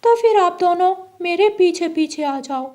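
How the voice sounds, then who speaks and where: Cartoon parrot played by a woman: very high voice, emphatic and instructive, generous but careful. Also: animated, unhurried, a little mellow. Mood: helpful, firm. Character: helpful, cautious parrot who shares stored grain. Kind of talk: children's cartoon voice acting in Hindi.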